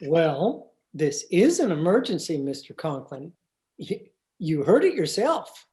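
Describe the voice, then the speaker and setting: A woman's voice: deep voice, big pitch swings, unhurried, dark tone, slight background noise. A woman playing a mild, hesitant, deferential schoolteacher in an old-time radio comedy style. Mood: hesitant, apologetic. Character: timid, mild-mannered, earnest schoolteacher.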